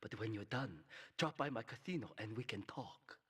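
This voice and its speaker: An actor playing a proud Yakuza brother who speaks in a formal, condescending, measured way. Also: male voice, fairly low pitch, lively intonation, pushed and bright, breathy edge, full character voice. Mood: cold disdain. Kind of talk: video game cutscene acting.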